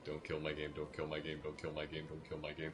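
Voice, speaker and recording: Deep-voiced man streaming a game. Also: completely flat delivery, fast. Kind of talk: casual live game commentary. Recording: game music behind the voice. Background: video game music.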